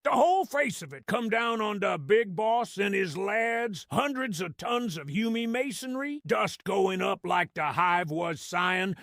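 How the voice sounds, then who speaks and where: A man's voice: sits high, gravelly, bright, forceful tone, no echo, clean studio sound, exaggerated voice. A man voicing a small, sly goblin storyteller, narrating an audio drama in slangy, dramatic fashion. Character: small scrappy male grot goblin storyteller, sly, awed, gossipy.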